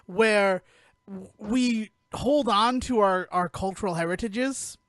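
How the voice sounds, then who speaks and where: A man's voice: high-pitched, slow, a bit bright, dry recording. An American man chatting casually on a podcast, informal and opinionated. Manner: opinionated, informal, swears freely. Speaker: podcast host.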